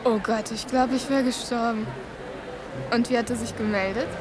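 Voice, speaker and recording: A woman's voice: on the high side, steady tone, moderate pace, a crowd in the background, close to an everyday voice. An actress in a radio drama playing an eager young woman who prods her friend for gossip. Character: eager young girlfriend prying for romantic details. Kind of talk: chatty radio drama dialogue.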